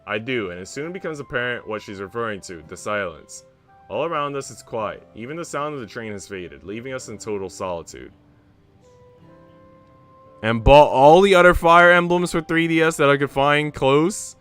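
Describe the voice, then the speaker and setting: A man's voice: mid-range voice, moderate pace, fairly bright tone. A young man streaming a visual novel in a laid-back, slangy way, switching between casual chat and reading aloud. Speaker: game streamer. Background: music.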